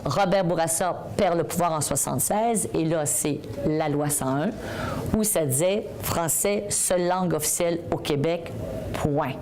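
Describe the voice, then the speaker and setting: A woman recounting history clearly in a documentary. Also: low voice, unhurried, noisy background. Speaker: interviewee.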